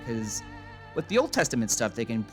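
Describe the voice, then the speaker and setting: A man with an average-pitched, very animated voice delivers casual, mocking commentary. His manner is sarcastic and irreverent, and music plays softly behind him. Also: fairly quick. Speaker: video host and commentator.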